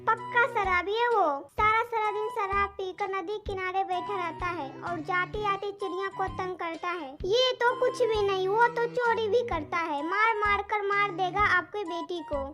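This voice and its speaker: A very high, childlike female crow from a children's cartoon, voiced by an actor. She is spiteful and scheming, always gossiping. Music plays clearly behind the voice. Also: steady tone, unhurried, hardly any pauses. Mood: slandering. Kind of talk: animated children's story dialogue.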